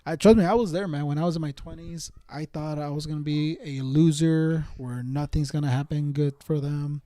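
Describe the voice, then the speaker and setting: Casting: man around thirty, relaxed mellow tone on the higher side, slangy off-the-cuff streamer talk that loops back on itself. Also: normal speed, no room sound. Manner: rambling, repeats himself, slangy. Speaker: live streamer chatting with viewers. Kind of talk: casual chat.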